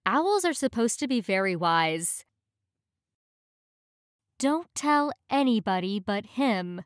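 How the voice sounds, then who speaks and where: A woman reads short practice phrases one at a time in a clear, measured voice. She speaks very slowly and sits a bit high in pitch. The recording is dry and close, with no room sound. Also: sing-song, no echo. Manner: clear, measured, each phrase read twice. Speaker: English phrase reader. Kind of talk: slow, careful reading of practice phrases.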